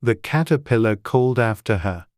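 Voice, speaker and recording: A man's voice: mid-range voice, animated, normal speed, a little mellow, no echo, clean studio sound. A man reading scripted storybook narration as an audiobook narrator.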